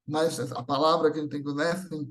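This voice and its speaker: A man's voice: on the high side, flat delivery, quick pace. A man giving a didactic religious talk, posing rhetorical questions and often checking for agreement. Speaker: religious lecturer. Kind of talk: Spiritist talk, didactic public lecture.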